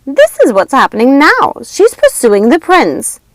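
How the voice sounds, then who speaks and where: Woman acting a shrill, commanding wicked witch. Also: wildly swooping pitch, measured pace, no room sound, full character voice. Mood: commanding, urgent. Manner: shrill, commanding, dramatic shouting. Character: wicked, frenzied witch sisters, imperious and menacing. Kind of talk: storybook character acting.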